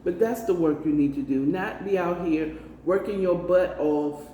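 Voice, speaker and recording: A woman's voice: on the low side, moderate pace, warm tone. An American woman giving a casual spiritual card reading, coaxing emphatically and repeating herself with frequent check-in tags. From United States. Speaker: tarot card reader. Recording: roomy, distant mic with faint noise.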